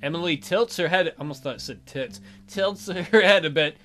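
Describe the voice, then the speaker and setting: A man with a bright, slightly high voice reads a visual novel aloud for a let's play. He is playful and irreverent, dropping in joking asides. Faint guitar music plays behind him. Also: normal speed.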